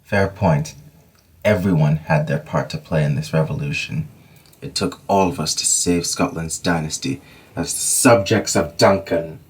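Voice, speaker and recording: A weary medieval lord mourning his lost family, voiced by an actor. He speaks slowly and formally in a deep, husky voice. It is an amateur audio drama. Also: full character voice. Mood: somber. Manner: solemn, formal old-fashioned speech. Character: grieving Scottish nobleman Macduff, weary.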